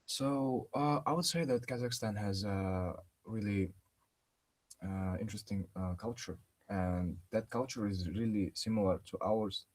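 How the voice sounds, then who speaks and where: Young male student from Yakutia; lowish voice, relaxed and rambling, many fillers, video call. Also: a little slow. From Yakutia, Russia. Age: young adult. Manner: relaxed, filler-heavy with frequent "like". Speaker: undergraduate student. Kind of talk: casual self-introduction.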